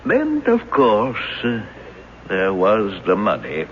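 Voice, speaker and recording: A man's voice: fairly low pitch, wildly swooping pitch, slow pace, full character voice. An actor in a radio drama playing a genial, folksy old retired bank man who coaxes like a crafty confessed robber. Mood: reminiscing. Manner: folksy, coaxing. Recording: dull, noisy old radio broadcast.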